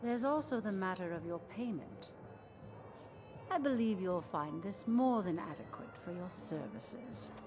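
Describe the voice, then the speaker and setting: A woman's voice: mid-range voice, lively intonation, unhurried, natural voice. A woman playing a cold, commanding crime boss in a fantasy video game, speaking in a curt, imperious, businesslike way. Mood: cold, displeased. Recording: music playing behind the voice.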